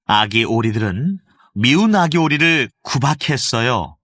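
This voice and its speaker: Expressive male storybook narrator. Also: mid-range voice, unhurried, dry recording. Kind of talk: scripted storybook narration for children.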